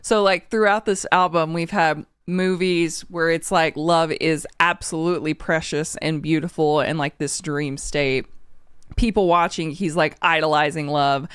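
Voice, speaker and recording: Sniffly woman doing an album reaction, smooth clear voice, super dry close mic, emotional and candid, swears a bit. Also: low-pitched, steady tone, a little slow, a bit bright, no echo. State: sniffly from a cold. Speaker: music reaction channel host. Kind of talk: casual album reaction and review.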